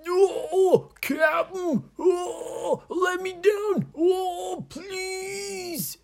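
A high-pitched, dim-witted pirate as a man storyteller puts him on pleads in a panicky wail. The words come drawn out and hesitant, in a broad children's-story style. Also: animated, exaggerated voice. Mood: panicked pleading. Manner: wailing, drawn-out cries with dopey hesitations.

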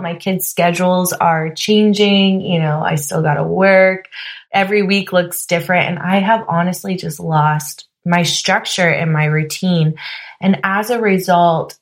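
A woman with a slightly low voice hosts her own podcast alone, still a little hoarse. She talks in a candid, chatty way, full of fillers like "you know" and "like". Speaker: podcast host. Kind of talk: casual solo podcast monologue.

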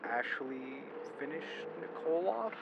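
A man playing a gentle, apologetic young man, a careful and hesitant friend, in an audio drama. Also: on the high side, lively intonation, measured pace, vocal fry. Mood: uneasy, hesitant. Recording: dull, noisy lo-fi recording.

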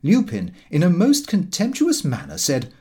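Guy reading a comic diary, super lively pitch, bit husky, fussy storyteller. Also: moderate pace. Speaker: audiobook narrator. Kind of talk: scripted first-person narration of a comic diary.

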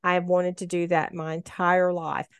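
A woman's voice: fairly low pitch, little pitch movement, moderate pace, clean tone, no echo. A woman of about sixty, talking earnestly in a casual conversation and giving advice. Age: 60s. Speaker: guest sharing her story.

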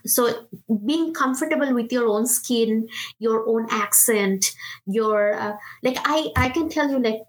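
A woman's voice: on the high side, measured pace, low-quality recording. Indian woman, 30s, product manager giving encouraging advice, conversational, lots of restarts. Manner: earnest, encouraging, many fillers and restarts. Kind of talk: casual interview talk.

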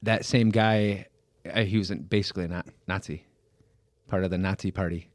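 A man co-hosting a casual podcast, reacting with quick quips. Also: sits low, fairly even intonation, dry recording, clean studio sound. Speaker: podcast co-host. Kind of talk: casual chat.

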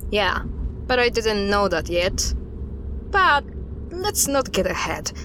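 A woman's voice: medium pitch, big pitch swings, slow pace, pushed and bright, full character voice. Woman acting as guarded investigator over noisy ambience. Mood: measured, uneasy. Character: guarded woman investigator recounting an unsettling case. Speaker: voice actor in an audio drama. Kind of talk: audio drama dialogue, storytelling.

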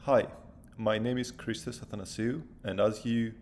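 A man's voice: lowish voice, fairly even intonation, moderate pace, darkish tone. A man in his thirties from Greece with a Greek accent, a postdoctoral researcher answering interview questions thoughtfully and step by step.

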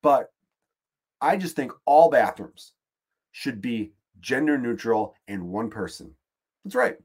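A man's voice: mid-range voice, very animated, slow pace, darkish tone, husky. A man with a Chicago accent, hosting a podcast and delivering a profane, exasperated, rambling comedic rant. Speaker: podcast host.

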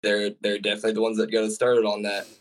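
Low-voiced young man telling a story. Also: quick pace. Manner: rambling, relaxed storytelling with fillers. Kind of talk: casual chat.

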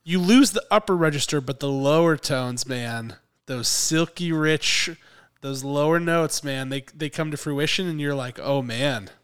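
Chatty podcast man, a little hoarse. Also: fairly high pitch, unhurried. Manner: chatty, laughs often, restarts phrases. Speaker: podcast co-host. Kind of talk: casual podcast chat.

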